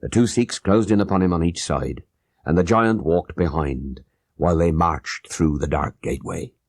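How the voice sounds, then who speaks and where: A man's voice: sits low, moderate pace, no room sound, studio quality. A man playing an old-time ex-soldier who earnestly and vividly recounts his crime in an audiobook. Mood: suspenseful. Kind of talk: audiobook character narration.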